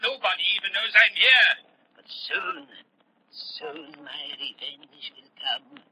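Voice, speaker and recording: Embittered older male scientist, highish sharp voice, grandiose and quick to flare, vintage radio drama with faint noise. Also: animated, breathy edge, no echo, exaggerated voice, rough recording. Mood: bitter rage. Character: embittered, scheming male scientist, paranoid and irritable. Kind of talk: old-time radio drama acting.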